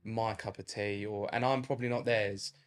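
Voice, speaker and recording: A man's voice: fairly low pitch, fairly even intonation, moderate pace. A man hosting a podcast, chatting in a jokey, extroverted way. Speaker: podcast host. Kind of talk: casual podcast chat.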